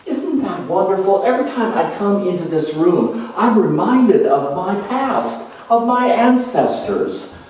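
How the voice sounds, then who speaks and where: A man's voice: sits high, dark tone. A man voicing a doting, anxious homemaker mother in a character dramatization, effusive and confiding. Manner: effusive, cosy, hesitant and confiding. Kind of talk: solo character dramatization for an astrology talk. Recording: very echoey room, distant microphone.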